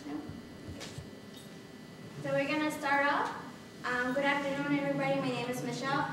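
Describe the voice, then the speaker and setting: A young woman with a high voice introduces a workshop in halting, hesitant phrases. She is picked up from far off in a very echoey meeting room, with clear background noise. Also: flat delivery. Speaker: workshop presenter. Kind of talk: bilingual workshop presentation. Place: meeting room with brick walls, indoors. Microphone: distant camera microphone. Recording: distant, echoey, noisy room recording.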